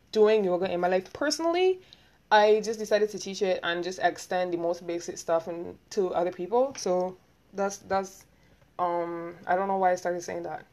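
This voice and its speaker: A young woman with a Barbadian accent talking quickly and rambling, hosting a casual solo podcast and laughing often. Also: fairly low pitch, a little mellow. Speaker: podcast host.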